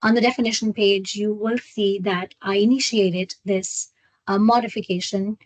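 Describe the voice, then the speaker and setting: Woman software trainer, dry close sound. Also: medium pitch, fairly even intonation, no room sound, rough recording. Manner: halting, step-by-step explaining with check-in questions. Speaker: software trainer hosting a webinar. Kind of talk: live screen-share product walkthrough.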